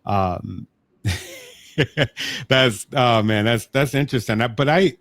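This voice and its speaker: A man's voice: medium pitch, slow, fairly bright tone, no echo. A man hosting a podcast interview, enthusiastic and admiring, reacting with frequent "wow"s and restarting his questions. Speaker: podcast host. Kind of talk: casual interview talk.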